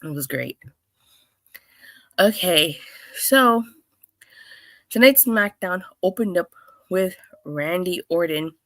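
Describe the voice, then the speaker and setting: A woman with a hoarse, clogged voice from being under the weather, hosting a chatty solo review with lots of restarts. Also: on the low side, slow, long pauses, fairly bright tone, no echo. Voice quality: clogged, stuffy voice. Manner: chatty, rambling, with many restarts and fillers. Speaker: wrestling show reviewer, host. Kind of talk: casual solo review talk.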